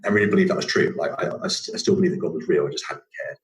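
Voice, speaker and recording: A man's voice: rumbling low, rapid-fire. A young man from England with a northern English accent, a podcast guest telling his story casually, rambling with many fillers and restarts.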